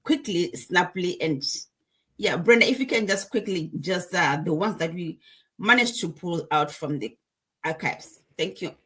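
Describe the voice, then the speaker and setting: Botswana-born woman host, slightly low, hurried and halting on a video call. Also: animated, fairly bright tone, slightly rough. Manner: hurried, halting with many fillers. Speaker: host, digital business mentor. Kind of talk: live-stream chat.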